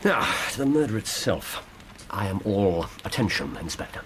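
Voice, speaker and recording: An English actor playing a shrewd, inquisitive gentleman detective in a radio drama, speaking precisely and musingly with theatrical flair. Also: lowish voice, big pitch swings, normal speed, slight background noise. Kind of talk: 1990s British radio drama acting.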